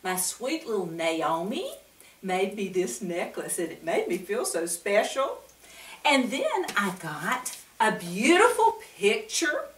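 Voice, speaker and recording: An older woman hosting a children's Bible story time, speaking directly to young listeners with frequent questions and pauses between phrases. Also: mid-range voice, very animated, slight background noise. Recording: roomy, echoing, distant microphone.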